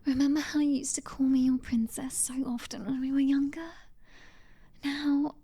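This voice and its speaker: A woman with a British accent playing a young, clingy, devoted vampire sister in an ASMR roleplay, speaking in a soft, breathy, halting whisper. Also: sits high, level tone, a little hiss or hum. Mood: sweet, playful. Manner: halting, tender, pleading.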